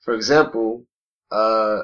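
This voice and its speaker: A man's voice: on the low side, fairly even intonation, slow pace, fairly bright tone, dull audio. A man co-hosting a podcast in casual chat, swearing often and restarting his sentences as he talks. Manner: loose, profane, many restarts and fillers. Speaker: podcast co-host.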